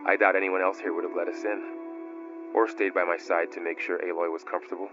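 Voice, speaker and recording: An actor playing a gentle, loyal young warrior companion in a video game, soft-spoken, earnest and a bit hesitant. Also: male voice, low-pitched, flat delivery, fast, natural voice. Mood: warm, curious. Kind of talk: naturalistic video game acting. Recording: dull, compressed game audio. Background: prominent background music.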